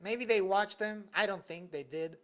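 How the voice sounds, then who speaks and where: A man's voice: high-pitched, moderate pace, a bit bright. A young man from Venezuela, casually answering live chat questions from his bedroom desk in a loose, joking way, full of "like". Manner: loose and joking, heavy use of "like". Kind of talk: casual livestream Q&A.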